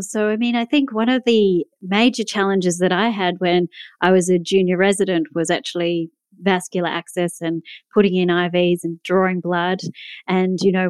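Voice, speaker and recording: An Australian-accented woman speaks as an interview guest, explaining things in a conversational storytelling way. Her voice is smooth and a little low. It is recorded dry, without room echo. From Melbourne, Australia. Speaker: guest, pediatric doctor.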